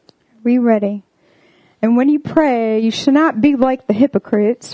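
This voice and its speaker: Earnest young woman preaching, warm voice. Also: a little high, dry recording, rough recording. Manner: earnest, full of "like" and "um" fillers. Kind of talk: casual sermon.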